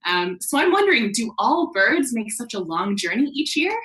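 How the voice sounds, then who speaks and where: A woman's voice: fairly high pitch, very animated, normal speed, a bit bright. A woman, hosting a casual interview for children on a video call. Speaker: host, interviewer. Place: bedroom at home, indoors. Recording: roomy, echoey video call.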